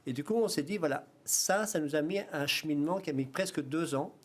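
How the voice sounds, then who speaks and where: A man with a Belgian French accent, a guest in a studio interview, explaining his project in a relaxed way, often starting with "alors" and "ben". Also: on the high side, fairly quick. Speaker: guest, founder of a self-build training project.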